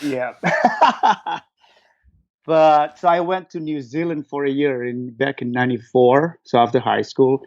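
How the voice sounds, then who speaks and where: A man in his forties, from Indonesia, speaking as an interview guest. He talks at an unhurried pace with lots of ums and false starts. The recording sounds dull and lo-fi. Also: medium pitch, darkish tone. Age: 40s. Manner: hesitant, restarts with fillers and laughs. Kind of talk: casual interview chat.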